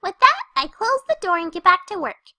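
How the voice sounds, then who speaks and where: A hyperactive young female cartoon pony, extremely high and very animated. She chatters cheerfully and breezily to the listener, scatterbrained and party-loving, in a dry, close recording. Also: normal speed, no echo, heavily acted. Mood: excited, chatty. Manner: bubbly, chatty, breezy narrating straight to the listener. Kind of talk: fan fiction audio reading in cartoon character.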